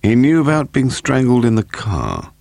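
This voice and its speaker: Spirited, sharp-tongued wife, voiced by a male reader, lifted pitch, forthright, mystery audiobook. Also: big pitch swings, a little fast, vocal fry, breathy edge, no echo, full character voice. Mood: composed.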